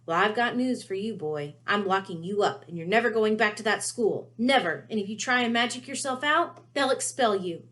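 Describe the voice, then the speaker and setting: A bullying storybook uncle, acted out by a woman reader. He is cruel and gloating, sneering his threats with forceful menace. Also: medium pitch, expressive, normal speed, hardly any pauses, pitched above the performer's own voice, natural voice. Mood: gloating fury.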